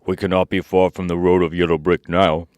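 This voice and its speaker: A straw scarecrow from a storybook , voiced by a male narrator, reasoning aloud in a low male voice. The delivery is declarative and thoughtful, close and without room sound. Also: level tone, fairly quick, a little mellow, no room sound, natural voice. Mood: matter-of-fact.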